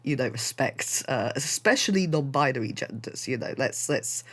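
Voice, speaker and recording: A man's voice: medium pitch, lively intonation, normal speed, a bit bright, slightly rough. A man hosting a podcast in casual chat, talking with lots of fillers and frequent laughs. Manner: chatty, laughs often, many fillers. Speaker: podcast host.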